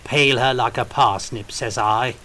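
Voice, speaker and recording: A man's voice: mid-range voice, fairly even intonation, a little slow, bright, forceful tone, slight background noise. A man voicing a malicious, growling soldier thug in an audiobook story. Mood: malicious, sneering.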